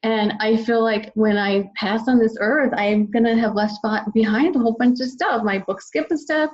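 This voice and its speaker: A woman's voice: a little high, normal speed, a little mellow. Dull lo-fi recording of an enthusiastic woman. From United States. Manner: enthusiastic, talkative. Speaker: podcast guest, children's book author. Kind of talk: conversational interview.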